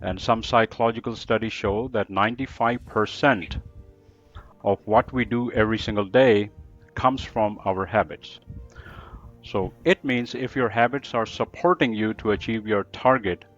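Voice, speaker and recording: A man's voice: on the low side, dull audio. A man with an American-trained accent, a teacher, answering interview questions in an emphatic, explanatory way, often repeating phrases. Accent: American-trained English. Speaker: book reviewer, English teacher.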